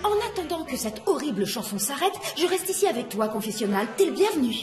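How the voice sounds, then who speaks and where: A bossy cartoon interviewer with a high, swooping voice. She is sardonic and teasing, with soft music underneath. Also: sing-song, fast talker. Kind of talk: French cartoon dub acting.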